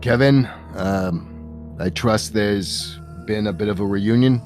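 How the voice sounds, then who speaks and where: Low voice guy game mastering a tabletop rpg, bit of music under him. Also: slow pace, a little mellow. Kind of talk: improvised tabletop game narration.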